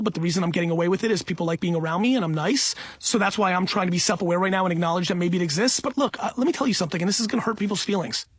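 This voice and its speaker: A man's voice: high-pitched, husky, no room sound. A middle-aged man talking off the cuff for an audiobook in an emphatic, self-assured, blunt and conversational way. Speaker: entrepreneur and company CEO reading own audiobook.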